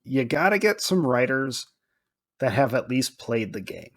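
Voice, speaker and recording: A man's voice: medium pitch, sing-song, measured pace, fairly bright tone. A man co-hosting a podcast, talking casually and thinking aloud as he goes. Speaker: podcast co-host.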